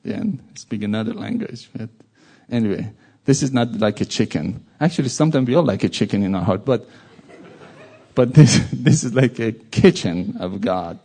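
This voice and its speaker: Gravelly iranian guy preaching, persian accent, dark husky voice, jokes around. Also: on the low side, normal speed. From Iran. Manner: animated, jokey asides. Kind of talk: informal sermon.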